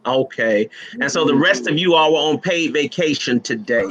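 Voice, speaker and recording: A man hosting a live online panel of pastors, jovial and teasing as he asks quick questions over a video call. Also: on the high side, a bit bright. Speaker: host and moderator.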